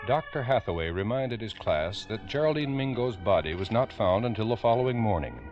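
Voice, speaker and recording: A man giving solemn, ominous, deliberate scripted narration in the style of old-time radio drama. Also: on the low side, expressive, muffled recording. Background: organ music.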